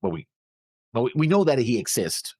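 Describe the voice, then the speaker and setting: A man co-hosting a reaction show, casually discussing an anime episode in a halting way, often repeating words. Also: mid-range voice, big pitch swings, gravelly, darkish tone, no room sound, studio quality.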